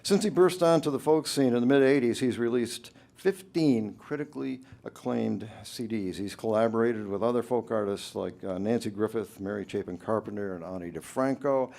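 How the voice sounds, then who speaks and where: A man hosting a radio show, introducing a live concert from a club stage as an upbeat emcee who pauses between phrases. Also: medium pitch, creaky, darkish tone.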